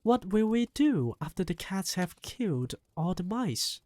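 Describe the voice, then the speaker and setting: A reader voicing an excitable young boy in an audiobook, talking eagerly and shouting with excitement. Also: medium pitch, a little slow, dry recording, pitched above the performer's own voice. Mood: earnest. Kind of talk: audiobook character reading.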